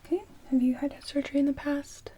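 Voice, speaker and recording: A woman whispering gently in an ASMR medical roleplay as a receptionist, asking orderly questions in short phrases. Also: high-pitched, flat delivery, fast talker.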